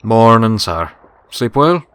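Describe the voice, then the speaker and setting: A man's voice: on the low side, very animated, slow pace, a bit bright, clear, resonant tone, full character voice. A man voicing an elderly earth pony manservant in a storybook, deferential, folksy and plain-spoken. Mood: polite, wry. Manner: plain-spoken, polite, understated.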